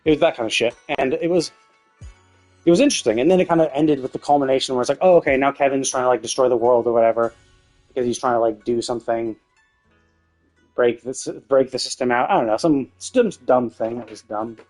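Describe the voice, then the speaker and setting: A man's voice: medium pitch, fast, a little mellow, soft background music. A man live streaming a game, talking casually in a rambling, opinionated way with some swearing.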